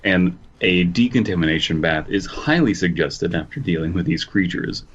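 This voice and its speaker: A man's voice: sits low, lively intonation, normal speed, slightly rough, lo-fi sound. A man co-hosting a podcast, speaking dryly and matter-of-factly, reading text aloud. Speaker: podcast co-host.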